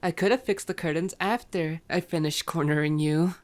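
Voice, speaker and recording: A playful vampire woman, acted out by a female performer in an ASMR audio roleplay. Her voice sits a little on the low side. She is sardonic and flirty, bantering with cocky, teasing hunger. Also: normal speed, natural voice. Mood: wry banter.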